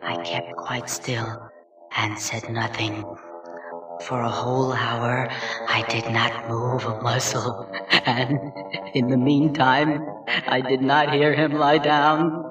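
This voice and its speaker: Man acting a slow, unhinged gothic madman. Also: medium pitch, steady tone, muffled recording, natural voice. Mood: stealthy, tense. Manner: chuckling, halting, swinging from hushed creeping to frenzied. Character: gleeful, unhinged madman murderer insisting he is sane. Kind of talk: theatrical dramatic reading of gothic horror story. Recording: roomy echo, distant mic, music underneath. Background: music.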